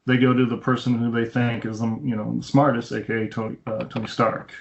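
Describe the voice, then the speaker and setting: A man's voice: medium pitch, little pitch movement, quick pace, creaky. A man talks casually about film as a podcast co-host, with a mid-range voice. He explains as he goes, slipping into retold dialogue. The sound is dull and lo-fi, recorded over an internet call. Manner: explanatory, retells scenes with quoted dialogue. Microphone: Skype call.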